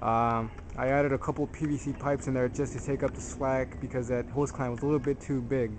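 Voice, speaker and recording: A man's voice: medium pitch, flat delivery, a little fast. A man narrating an informal hands-on tutorial in a plain-spoken, slightly hesitant, self-deprecating way. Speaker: DIY tutorial presenter. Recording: noisy amateur camera audio.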